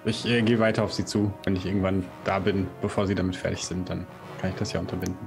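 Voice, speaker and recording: Low-voiced man playing a tabletop game. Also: little pitch movement, fast, creaky. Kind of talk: casual game talk, stating his character's actions. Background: background music.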